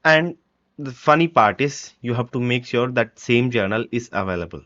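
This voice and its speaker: A man presenting a step-by-step software tutorial in halting short phrases, with dull, lo-fi microphone sound. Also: mid-range voice, lively intonation, dry recording. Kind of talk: step-by-step screen-recording walkthrough.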